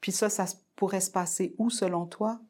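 A woman hosts a relaxed podcast interview in a middle-pitched voice. She talks casually and keeps probing with follow-up questions, her sentences full of little conversational fillers. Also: normal speed. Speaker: podcast host.

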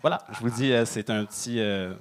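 A man's voice: mid-range voice, fairly quick. A man doing conversational stand-up and banter in a hesitant manner. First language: Arabic-speaking family, says he speaks French imperfectly. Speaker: stand-up comedian performing on a show.

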